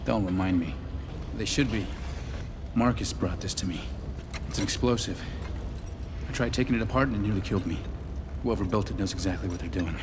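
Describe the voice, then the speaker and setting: An actor playing an earnest, idealistic young inventor turned city councilor in an animated drama, intense and resolute. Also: male voice, low-pitched, brisk, long pauses, background noise, lightly acted. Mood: troubled. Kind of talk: dramatic animated series dialogue. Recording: dialogue over clear background music. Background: music.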